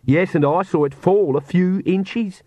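A man's voice: high-pitched, a little slow, no echo, muffled recording, voice pushed up. A man voicing a cocky, impatient young woman with an insistent, taunting manner.

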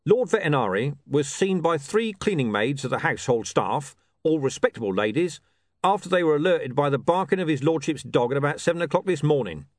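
A man's voice: fairly high pitch, lively intonation, a little fast, no echo, clean studio sound, pitched above the performer's own voice, natural voice. A man voicing a curt, blunt, irritable and suspicious police commander in an audiobook story. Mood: exasperated.

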